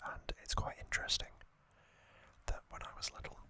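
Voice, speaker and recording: An ASMR host whispering casual trivia, hushed and unvoiced, musing with frequent ums. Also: normal speed, no echo.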